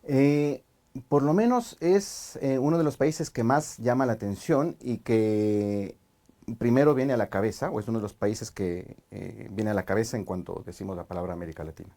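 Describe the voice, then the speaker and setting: A man from Ecuador with an Ecuadorian highland accent, a Spanish teacher chatting on a podcast, thoughtful and hesitant with many fillers. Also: moderate pace, dark tone. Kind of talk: casual podcast chat.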